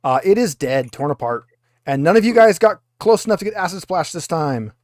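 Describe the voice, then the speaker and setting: A man's voice: on the high side, lively intonation, brisk, a little mellow, dry recording, studio quality. A man acting as game master, casually narrating a tabletop roleplaying session and giving rulings.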